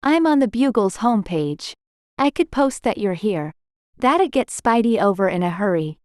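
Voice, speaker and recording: Quick-witted female newspaper staffer, actress, lively inflection, pert, dry recording, comic dub. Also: mid-range voice, no room sound, lightly acted. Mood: challenging.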